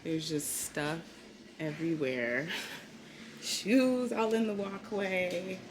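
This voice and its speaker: A woman's voice: on the low side, takes it slowly, noisy background. A woman talking candidly and informally in a casual personal vlog, recorded at home. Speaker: vlogger, costume designer. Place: indoors at home.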